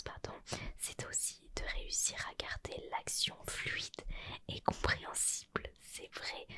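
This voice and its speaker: Whispering young woman reading softly. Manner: soft whisper with chatty asides and self-corrections. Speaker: ASMR creator. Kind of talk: whispered ASMR roleplay reading a retro gaming magazine.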